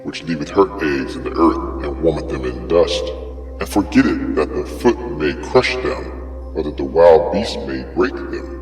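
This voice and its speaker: Man, extremely low voice, solemn theatrical scripture narration, grand rhetorical delivery, heavy echo, music underneath. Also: expressive, unhurried, darkish tone. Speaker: narrator of a dramatic scripture reading. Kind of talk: dramatic reading of King James scripture. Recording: echoey long reverb over music.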